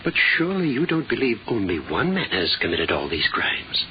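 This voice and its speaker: Lively male voice, fussy insurance representative, formal, exasperated, vintage radio drama, faint hiss. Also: on the low side, moderate pace. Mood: incredulous, exasperated. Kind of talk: old-time radio drama acting. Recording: muffled old radio recording with hiss.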